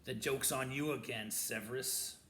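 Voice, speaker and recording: A man doing a snarling, croaky hunted convict. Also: mid-range voice, steady tone, normal speed, a bit bright, a little hiss or hum, lightly acted. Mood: desperate. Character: desperate, hunted male convict. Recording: roomy echo.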